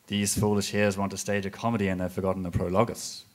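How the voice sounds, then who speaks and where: A man reading the part of a scornful male prologue speaker in a live stage comedy, loud and theatrical, scolding and mocking. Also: deep voice, flat delivery, fast, lightly acted. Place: live performance space with audience.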